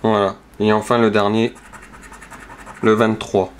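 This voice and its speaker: A man calmly talks through each number as he scratches lottery tickets, casual and methodical. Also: on the low side, unhurried, a little hiss or hum. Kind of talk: casual narration while scratching cards. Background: scratching sounds.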